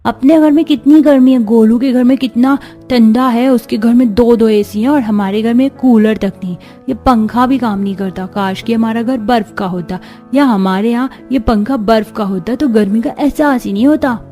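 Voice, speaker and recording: A woman's voice: on the high side, moderate pace, hardly any pauses, warm tone, lightly acted. A woman voicing a young cartoon schoolboy, eager and childishly complaining. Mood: complaining, wishful. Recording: music behind the voice.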